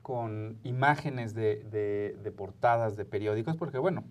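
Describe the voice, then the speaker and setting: A man's voice: lowish voice, unhurried, recorded from a distance, slight background noise. A man with a Mexican accent hosting a casual panel chat in a studio, speaking in a colloquial, jokey way. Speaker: program host.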